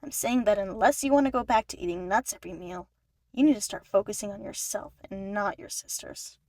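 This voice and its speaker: A woman's voice: mid-range voice, fairly quick, darkish tone, no echo, studio quality, close to an everyday voice. A woman voicing a commanding, pushy male talent manager, stern and insistent, in an audio story. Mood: stern, urging. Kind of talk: fan fiction audio story acting.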